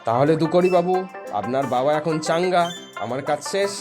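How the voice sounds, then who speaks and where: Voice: medium pitch, lively intonation, moderate pace, lightly acted. An actor playing a pompous, sly male family doctor in a cartoon, making emphatic pronouncements. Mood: brisk, sly. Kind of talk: cartoon voice acting. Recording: loud music behind the voice.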